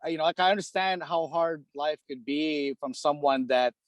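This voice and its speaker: Guest, male, from the Philippines, slightly high voice, loose rambling talker, dry close mic. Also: moderate pace, no echo. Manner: rambling, many fillers like "dude" and "you know". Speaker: guest, hockey equipment repair shop owner. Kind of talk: casual podcast chat.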